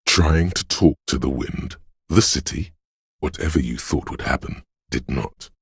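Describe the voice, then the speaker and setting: A man voicing a brooding, omniscient inner voice of a city in a video game, narrating gravely and poetically in a measured, husky, gravelly voice. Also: extremely low pitch, no echo, clean studio sound, full character voice. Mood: brooding, solemn. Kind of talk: slow literary second-person game narration.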